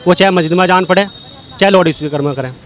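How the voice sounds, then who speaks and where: A man from the public giving informal, explanatory answers in a street interview, haltingly and with repeated phrases. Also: sits high. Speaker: member of the public being interviewed. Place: outdoors on a street. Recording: faint street and traffic noise.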